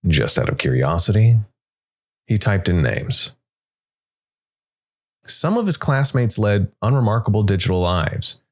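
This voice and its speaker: A man reads scripted dark fantasy narration in a measured way, with dramatic pauses between short phrases. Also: fairly low pitch, big pitch swings. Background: background music.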